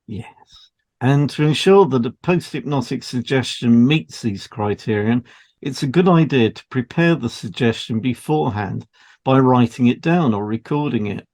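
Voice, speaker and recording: A man's voice: mid-range voice, moderate pace, a little mellow. A man co-hosting an educational podcast, reading scripted material in an explanatory style. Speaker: podcast co-host, hypnotherapist.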